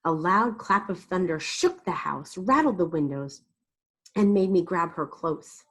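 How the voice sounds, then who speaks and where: A woman reading a story aloud to children in an expressive way, with deliberate, dramatic emphasis. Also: fairly low pitch. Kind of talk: expressive read-aloud narration.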